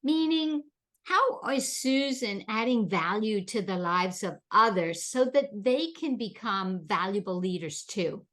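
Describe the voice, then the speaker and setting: A woman originally from the Bahamas hosts a leadership interview in an encouraging way, often repeating words as she builds up her questions. Her voice sits at a middle pitch. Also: expressive, a little slow, a bit bright. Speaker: podcast host. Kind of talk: interview chat.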